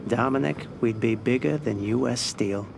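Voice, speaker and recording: A man playing a shrewd, older mob financier in a video game, with a gravelly voice and a deliberate, persuasive manner. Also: mid-range voice, darkish tone, faint music behind. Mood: tempting. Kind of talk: cinematic video game acting.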